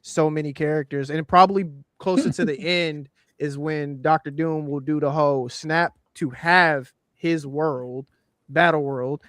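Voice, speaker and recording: Man, co-host, smooth resonant voice, a bit high, rambling profane chat, dry close sound. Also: steady tone, a little slow, a bit bright, no echo. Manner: rambling, profane, many fillers. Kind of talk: casual pop culture chat.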